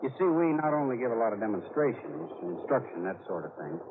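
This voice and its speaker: A careful, businesslike flyer talks plainly and somewhat hesitantly at a middle pitch. It is radio drama acting, heard through a thin, noisy vintage recording. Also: male voice, sing-song, fast talker, phone-quality sound. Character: cautious, hesitant male charter pilot, businesslike.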